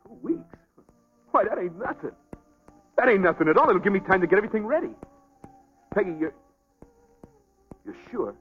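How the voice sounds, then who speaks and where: An actor playing an earnest, quick-tempered young American boxer in love, with plain working-class speech in an old film drama. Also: male voice, high voice, very animated, highs cut off, faint music behind. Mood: hopeful.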